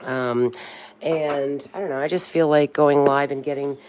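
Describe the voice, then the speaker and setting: A woman's voice: low voice, fairly even intonation, unhurried, darkish tone, a little hiss or hum, rough recording. A woman in her sixties hosting a casual livestream from home, chatting loosely and breaking into bits of birthday songs. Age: 60s. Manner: chatty, sings snatches of birthday songs to herself. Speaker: livestream host. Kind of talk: rambling casual livestream chat.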